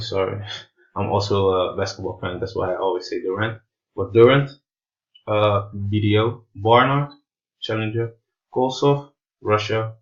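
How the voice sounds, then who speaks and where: A man, a native Portuguese speaker with non-native English, giving an informal explainer in a halting, hesitant way, searching for words. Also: fairly low pitch, highs cut off. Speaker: presenter of an explainer video.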